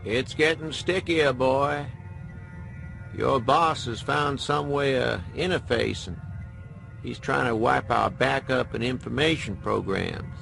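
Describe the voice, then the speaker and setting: An actor playing a wry, crusty veteran hacker in a radio drama, folksy and sardonic. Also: male voice, mid-range voice, slow pace, vocal fry, fairly bright tone, faint music behind, exaggerated voice. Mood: wry, matter-of-fact. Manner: folksy drawled phrasing, sardonic.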